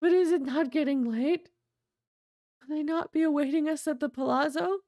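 A woman voicing a chained nobleman who laughs hysterically and begs, her voice raised high. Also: moderate pace, dark tone, dry recording, studio quality. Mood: laughing hysterically.

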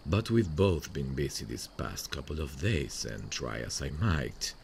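Man acting a very deep, gravelly, tender boyfriend. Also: big pitch swings, slight background noise, exaggerated voice. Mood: shy, longing. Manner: tender, soothing, hesitant pauses. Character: sweet, devoted long-distance boyfriend on a late call. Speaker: ASMR roleplay voice actor. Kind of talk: slow intimate ASMR boyfriend roleplay. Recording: faint running-water nature sounds behind. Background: stream nature sounds.

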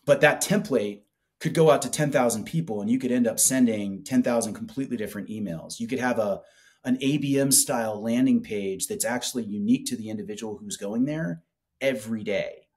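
A man in his forties making a casual, enthusiastic product pitch in conversation, speaking colloquially on a video call. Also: fairly quick, darkish tone. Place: small office with whiteboard, indoors.

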